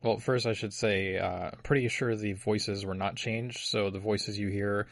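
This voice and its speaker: A man's voice: fairly low pitch, steady tone, normal speed, no room sound. A man co-hosting a podcast, chatting casually and explaining details at length with frequent uhs and likes. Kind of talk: casual chat about a video game.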